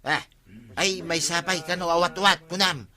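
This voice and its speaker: A man's voice: high voice, fairly even intonation, normal speed, sharp tone. An actor playing a scheming, food-greedy village man in an Ilocano radio comedy drama, with an Ilocano accent. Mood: greedy, chatty. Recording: music under the dialogue.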